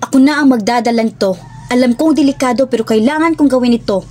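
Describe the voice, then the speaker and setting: A woman's voice: fairly high pitch, fast, a little mellow, close to an everyday voice. A woman playing a brave, caring young activist in an earnest, dramatic amateur radio drama. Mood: solemn, resolute. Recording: faint music behind the voice.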